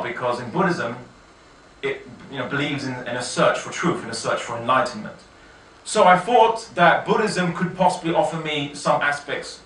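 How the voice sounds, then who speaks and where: A man giving an informal autobiographical talk, telling his story in a halting, self-correcting, conversational way. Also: mid-range voice, pushed and bright. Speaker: speaker giving a personal testimony. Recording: echoey room, distant mic, faint noise.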